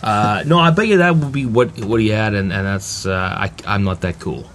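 A man's voice: fairly low pitch, moderate pace, fairly bright tone. A man hosting a podcast in a casual, jokey, rambling way. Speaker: photography podcast host. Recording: clear background noise.

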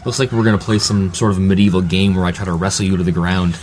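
A man's voice: low-pitched, flat delivery, fast, darkish tone. A man hosting a podcast in a casual, jokey, rambling way. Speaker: photography podcast host. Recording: clear background noise.

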